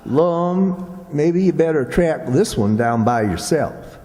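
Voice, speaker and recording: A mild, wry country sidekick acted by a man from an old-time radio comedy. He drawls slowly in a mellow voice with lively swings of pitch and a rural Southern accent, folksy throughout, heard in a reverberant hall. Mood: dry, wry. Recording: echoey hall with long reverb.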